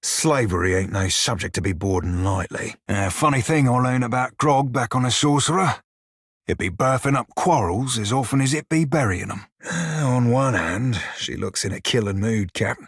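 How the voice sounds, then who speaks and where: An actor voicing a short, crude, wry pirate shiphunter for a video game, with a husky, rough-edged voice and a pirate dialect full of salty sailor slang. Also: male voice, medium pitch, expressive, moderate pace, no echo, clean studio sound. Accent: pirate-style dialect. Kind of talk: video game character dialogue and barks.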